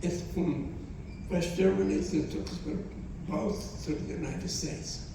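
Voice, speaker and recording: A man in his nineties, not a native English speaker, gives a halting testimony to students and often repeats his phrases. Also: high-pitched, vocal fry, noisy background. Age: 90s. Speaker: Holocaust survivor speaker. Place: college hall. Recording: echoey hall from far off.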